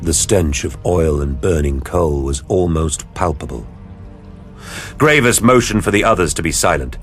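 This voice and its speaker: Deep-voiced male dramatic narrator over music. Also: expressive, moderate pace, fairly bright tone.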